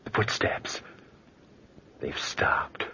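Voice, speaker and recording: An actor playing a nervous, obsessed young nephew sliding into madness in a 1940s radio drama, intense and often hushed. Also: male voice, very slow, heavily acted. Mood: hushed dread. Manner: intense, haunted, breaking into hushed whispers. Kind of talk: 1940s radio drama acting, first-person confession. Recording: dull, hissy old 1940s radio recording.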